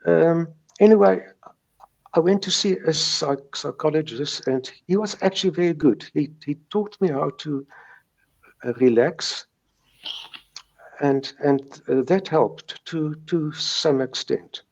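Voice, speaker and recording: Halting South African man, slow and creaky. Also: a little high, darkish tone, highs cut off. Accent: South African Afrikaans. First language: Afrikaans. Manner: halting, repeats words and sounds, stuttering. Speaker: guest recounting recovery from stuttering. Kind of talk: personal account in an interview.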